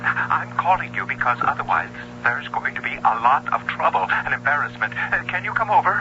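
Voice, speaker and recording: A man's voice: medium pitch, sing-song, a little fast, lightly acted. An actor playing a hesitant, apologetic father calling a policeman late at night. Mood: nervous. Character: nervous, apologetic middle-aged father. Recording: dull lo-fi radio broadcast, noisy.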